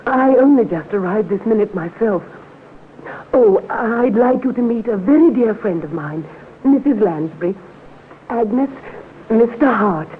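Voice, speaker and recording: A woman's voice: fairly high pitch, expressive, a little slow, a little hiss or hum. Posh gushy society lady in an old radio play, refined n chatty, tinny recording. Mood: gushing, sociable. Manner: effusive, polite, refined. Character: genteel, gushing, sociable society lady. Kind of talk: classic radio drama acting.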